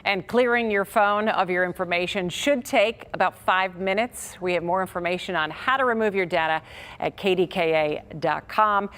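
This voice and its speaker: A woman's voice: medium pitch, normal speed, a bit bright. An American woman delivers consumer news as a television reporter. She moves between scripted report and studio explanation, addressing viewers in a broadcast style.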